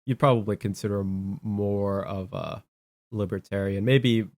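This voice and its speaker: Low-pitched man, casual podcast host. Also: fairly even intonation, measured pace, darkish tone, no echo. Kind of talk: casual political chat.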